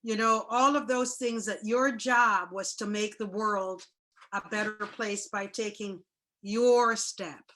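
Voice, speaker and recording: A woman telling a personal story in casual chat over a video call, with a loud, chatty way of talking. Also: medium pitch, fairly even intonation, slow, slight background noise. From Jamaica. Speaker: guest speaker.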